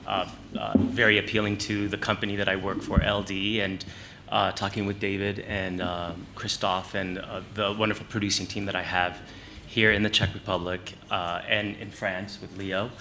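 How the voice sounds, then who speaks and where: A film producer answering press questions in a joking way, with many "uh" fillers. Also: male voice, lowish voice, noisy background. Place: press conference hall.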